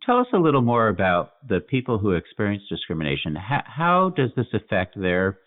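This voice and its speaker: A man's voice: fairly low pitch, huge pitch swings, fairly quick. A man hosting a medical podcast, asking courteous, probing questions with small restarts. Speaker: podcast host, physician. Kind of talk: interview questions. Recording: tinny phone-like line.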